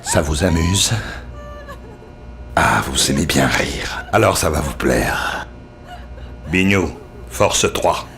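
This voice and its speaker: A gravelly gloating cartoon villain. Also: male voice, expressive, a bit bright, exaggerated voice. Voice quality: deep gravelly growl. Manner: slow, gloating threats. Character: menacing male villain who punishes with laughter. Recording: music bed behind.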